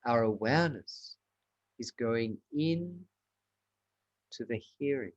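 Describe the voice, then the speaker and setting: Male meditation teacher, unhurried pace, short spaced phrases, measured instructional delivery. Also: medium pitch, lively intonation, dull audio. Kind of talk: guided meditation.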